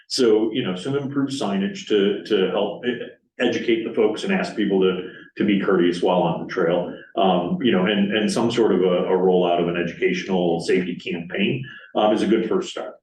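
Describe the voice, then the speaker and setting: A man's voice: low voice, fairly even intonation, moderate pace, a little mellow. A man on staff answering board questions at a public meeting, hesitant and full of fillers. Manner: hesitant, many fillers and restarts. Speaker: parks staff presenter. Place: council meeting room. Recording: roomy, distant meeting-room mic.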